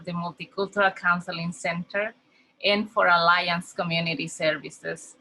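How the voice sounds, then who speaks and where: A middle-aged woman, a native Spanish speaker with a Spanish accent, welcomes a conference at a slow, careful pace. Her voice sits a little low but sounds bright and clear. Faint background noise runs under the video call. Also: rough recording. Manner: slow, earnest, heartfelt, with pauses and fillers. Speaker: conference speaker, community health worker.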